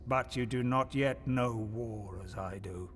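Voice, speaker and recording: A man plays a seasoned sci-fi soldier-medic, weary and sombre. He speaks slowly and measuredly at a middling pitch, over faint noise. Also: lightly acted. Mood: weary warning. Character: world-weary veteran male space marine medic.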